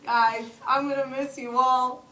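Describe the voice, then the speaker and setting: A woman's voice: high voice, flat delivery, measured pace, bright, forceful tone. A woman playing a weary, sentimental middle-aged male movie star in grief at a comedy table read. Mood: mournful, sentimental. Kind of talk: live comedy script read-through. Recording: roomy, distant mic, faint noise.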